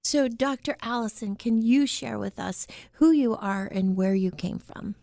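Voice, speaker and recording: A woman hosting a podcast, reading the show intro and asking questions in a clear, unhurried, scripted way. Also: fairly high pitch, mellow, no room sound, clean studio sound. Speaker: podcast host.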